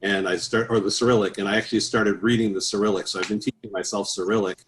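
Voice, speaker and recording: Middle-aged male singer, lowish voice, conversational and rambling, slight background noise. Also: steady tone, fast talker, a bit bright. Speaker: interview guest, professional choral bass singer. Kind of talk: casual interview talk.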